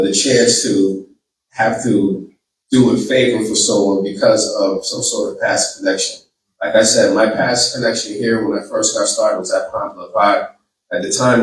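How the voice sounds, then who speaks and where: Man, Boston accent, campaign speech, earnest, repeats himself, low voice, far from the mic. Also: slight background noise. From Boston, Massachusetts. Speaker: political candidate. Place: large room with an audience. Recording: echoey room, distant mic.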